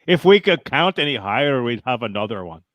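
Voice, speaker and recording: Man putting on a gruff violent Glasgow man. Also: medium pitch, a little fast, no echo, dull audio, pitched below the performer's own voice, lightly acted. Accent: Scottish. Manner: gruff, menacing deadpan threats. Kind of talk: improv comedy scene.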